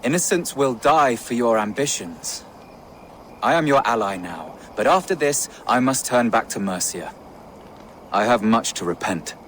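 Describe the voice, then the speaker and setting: A man's voice: mid-range voice, animated, a little slow, fairly bright tone, slight background noise. An actor playing a troubled, pious male warrior in a video game, somber and reluctant.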